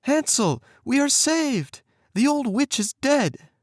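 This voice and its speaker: A man's voice: high-pitched, animated, normal speed, vocal fry, no room sound, clean studio sound, voice pushed up, exaggerated voice. A man voicing a frightened young girl in a storybook reading. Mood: joyful.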